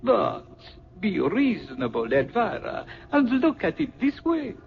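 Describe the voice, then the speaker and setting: Smug sly villain, high voice, slow swooping mock-sweet delivery, old radio drama, dull recording. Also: male voice, huge pitch swings, gravelly, slight background noise, heavily acted. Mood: gloating. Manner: slow, mock-affectionate, silky. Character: smug, sly villain addressing a defeated rival.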